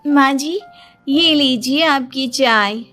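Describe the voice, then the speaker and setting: A woman acts out a young cartoon daughter-in-law who always feels hard done by. Her voice is very high and whiny, with a slow, dramatic, complaining delivery over music. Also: a bit bright. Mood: polite. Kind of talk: Hindi moral-story cartoon dialogue.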